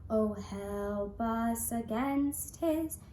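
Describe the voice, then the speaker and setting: A woman voicing an earnest young farmer's son who pleads in drawn-out, half-sung verse for a puppet show. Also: fairly high pitch, warm tone, slight background noise. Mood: pleading, earnest. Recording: roomy, echoey sound.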